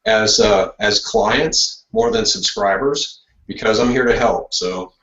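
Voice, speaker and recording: A man with a lowish voice on a video call, pausing and restarting often. His voice carries room echo, and the call audio is dull and lo-fi. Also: lively intonation, fairly bright tone. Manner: halting, many restarts and fillers. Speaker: guest model railroader. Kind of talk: casual remarks on a video call.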